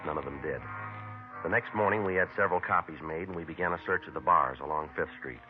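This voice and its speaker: A terse police detective voiced by a man lays out the facts in a very low, clipped, matter-of-fact voice and moves briskly through the case. It comes through thin and phone-like, as in an old radio crime broadcast. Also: music in the background, close to an everyday voice. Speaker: detective and narrator. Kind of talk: 1950s radio crime drama. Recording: tinny vintage radio broadcast.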